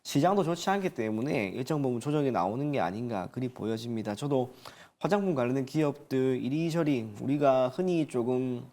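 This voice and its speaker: A man's voice: mid-range voice, lively intonation, brisk, few pauses, mellow. A man working as a stock market analyst, giving formal, firm, explanatory commentary.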